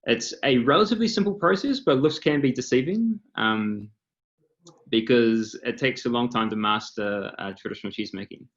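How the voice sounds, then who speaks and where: Kiwi-accented founder, explanatory panel talk, slightly dull call audio. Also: male voice, medium pitch, normal speed, a bit bright. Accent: New Zealand. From New Zealand. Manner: explanatory, lots of filler ums and uhs. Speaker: company co-founder and CEO, panelist. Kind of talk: presentation on a video call panel. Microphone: computer mic on a video call.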